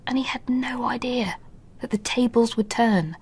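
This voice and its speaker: An actress playing a middle-aged wife and mother in a radio drama, earnest and anxious, thinking aloud in a soft voice. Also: on the high side, normal speed, husky.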